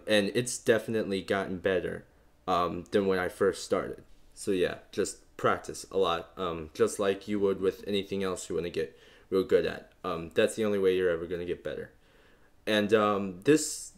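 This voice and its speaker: A young man with a very low bass voice walks the listener through beatbox sounds. He talks casually and rambles a bit, leaning on fillers as he explains. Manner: relaxed, rambling, many fillers. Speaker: beatbox tutorial host. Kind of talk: casual instructional talk.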